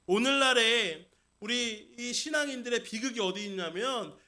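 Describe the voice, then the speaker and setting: A man's voice: extremely high pitch, normal speed, bright, forceful tone. A man preaching a Sunday sermon as senior pastor in a church service. He speaks emphatically, addresses the congregation directly and repeats key phrases. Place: church sanctuary during a service.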